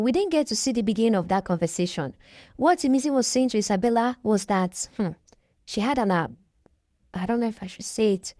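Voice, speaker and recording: A woman's voice: medium pitch, mellow, dry recording, clean studio sound. A woman chatting casually as she recaps a reality show, hesitant and often restarting her sentences. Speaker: reaction vlogger.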